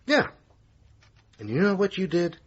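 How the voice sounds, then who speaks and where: A man's voice: sits high, very animated, a little slow, dull audio, full character voice. A man in a radio drama playing a sardonic, sceptical theatre manager who needles his lover in a dry, argumentative way. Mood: sceptical, scornful. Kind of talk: naturalistic radio drama acting.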